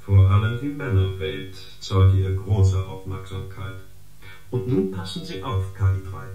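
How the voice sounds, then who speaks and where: An actor playing a smug surveillance agent in a radio drama, reporting a dossier with precise, formal, sardonic delivery. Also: male voice, deep voice, big pitch swings, unhurried. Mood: needling. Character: smug, gossiping surveillance agent or unit, dryly needling. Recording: echoing space, loud guitar music.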